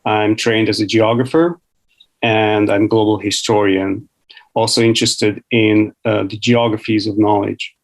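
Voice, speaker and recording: A man asks long, searching questions as a panel moderator. His voice sits a little low, and he often pauses to find the right word. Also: flat delivery. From Hungary. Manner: thoughtful, searching for words. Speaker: academic researcher, panel moderator. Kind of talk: panel conversation.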